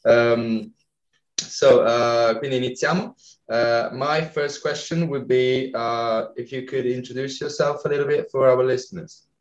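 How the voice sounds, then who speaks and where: Man, Italian accent, hesitant, halting English, roomy, muffled sound. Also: medium pitch, steady tone. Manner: hesitant, many fillers, searching for words. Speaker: podcast host. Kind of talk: podcast interview.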